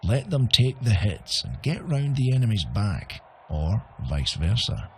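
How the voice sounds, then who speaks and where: An actor playing a wry, seasoned arena fighter in a video game, measured and knowing as he hands out advice. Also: fairly low pitch, very animated, a little hiss or hum. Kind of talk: video game character acting.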